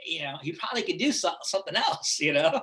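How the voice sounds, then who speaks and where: Middle-aged california guy, bright voice pitched a bit high, talks loose and rambly, lots of "like" and "right?". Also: sing-song, quick pace. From Los Angeles, USA. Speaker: guest actor. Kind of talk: casual interview chat.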